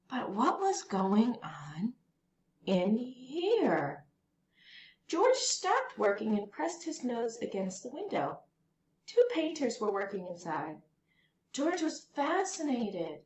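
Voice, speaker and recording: Female storyteller for a children's picture book, highish and very lively in pitch, roomy home-style sound. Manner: animated, deliberate phrasing for young listeners. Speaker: read-aloud storyteller. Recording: roomy echo, faint background noise.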